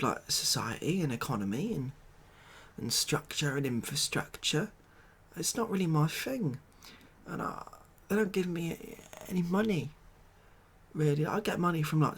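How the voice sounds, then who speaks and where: A British man doing a soft-spoken ASMR comedy roleplay as a glum, overwhelmed ex-builder, rambling along in a hesitant, deadpan way. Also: fairly high pitch, husky. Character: glum, overwhelmed ex-builder made president of the world.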